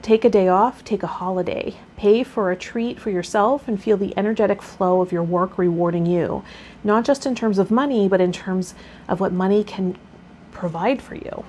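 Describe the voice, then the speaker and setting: Casting: female tarot channel host, mid-pitched, gentle and encouraging, faint background noise. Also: moderate pace. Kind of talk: reading aloud and casual spiritual vlog talk.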